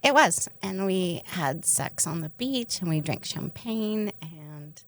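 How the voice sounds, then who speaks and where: A woman's voice: lowish voice, expressive, slow pace. A woman co-hosting a podcast, chatting candidly and telling personal stories with bawdy, joking frankness. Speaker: podcast co-host. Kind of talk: candid casual chat, personal storytelling.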